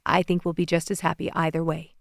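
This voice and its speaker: A woman voicing a confident, playful young man in an audiobook, speaking softly in a slightly lower register. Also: little pitch movement, fairly quick, no room sound, clean studio sound. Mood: teasing. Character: charming young male president, confident, playful suitor. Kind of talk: audiobook character voice.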